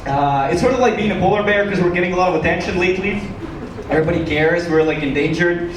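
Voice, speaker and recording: A man from Ukraine performing stand-up comedy to a live audience with a dry, joking delivery and hesitant pauses. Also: sits high. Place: small comedy club stage, live audience. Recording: roomy, noisy club recording from a distance.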